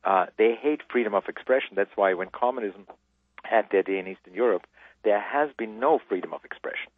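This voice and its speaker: A man's voice: fairly low pitch, brisk, slightly rough, no room sound. A man from Austria, a guest speaking emphatically on a radio interview over the phone. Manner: emphatic, preaching conviction. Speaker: guest author. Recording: tinny phone line.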